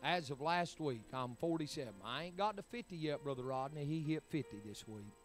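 A man's voice: a little high, expressive, a little fast, soft background music. A man, preaching a sermon in emphatic, rising bursts. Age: 40s. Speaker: pastor.

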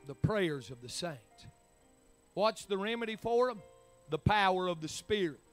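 A man's voice: high-pitched, lively intonation, a little slow, soft background music. A man, preaching a sermon in emphatic, rising bursts. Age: 40s. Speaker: pastor.

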